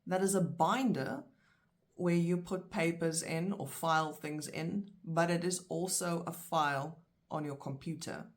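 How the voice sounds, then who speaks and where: Slow, low-voiced South African woman teaching. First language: Afrikaans. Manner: slow, clear word-by-word pronunciation. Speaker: language teacher. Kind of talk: vocabulary lesson.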